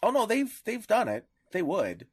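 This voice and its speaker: A man with a Canadian accent in a casual podcast discussion, speaking haltingly with repeated words and restarts. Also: high-pitched, wildly swooping pitch, slightly rough, no room sound. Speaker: podcast co-host. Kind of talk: casual discussion of media law.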